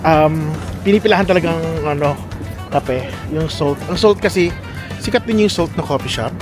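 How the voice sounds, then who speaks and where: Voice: mid-range voice, brisk, over music. A Filipino vlogger chatting casually and giggling while interviewing people at an outdoor tourist spot. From Philippines. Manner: giggly, chatty, switches between Tagalog and English. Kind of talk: casual travel vlog with street interviews. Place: busy outdoor tourist site.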